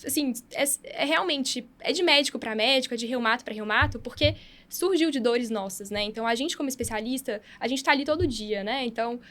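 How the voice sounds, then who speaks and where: Woman, podcast host, rapid talker, excitable storyteller, casual. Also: a little high. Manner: enthusiastic, fast storytelling with many fillers. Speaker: podcast host, radiotherapy doctor and app founder.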